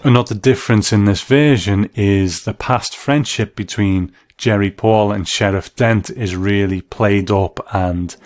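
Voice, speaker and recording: A man's voice: fairly low pitch, measured pace, few pauses. A man giving scripted review commentary as a narrator. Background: music bed.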